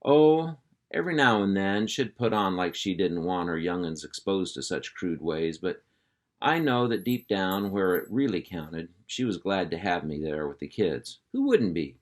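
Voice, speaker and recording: A man's voice: low voice, animated, moderate pace. A man reading a children's chapter book aloud in an easygoing, folksy storytelling manner. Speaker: reader.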